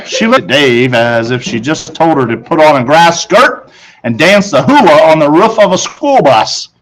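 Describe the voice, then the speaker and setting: Higher-pitched man reading a children's story. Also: lively intonation, moderate pace, fairly bright tone, poor audio. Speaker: audiobook reader, teacher. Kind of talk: storytelling read-aloud narration for children.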